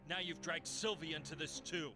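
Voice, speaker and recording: An actor playing a scornful, obsessed exile in a video game, taunting and insistent. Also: male voice, fairly high pitch, moderate pace, music in the background, close to an everyday voice. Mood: scornful, defiant. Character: defiant exiled male thief, obsessed, scornful.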